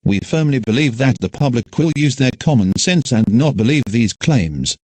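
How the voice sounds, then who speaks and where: A man reads a scripted emergency alert as an announcer in a formal, measured way. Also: mid-range voice, animated, a little mellow, no echo.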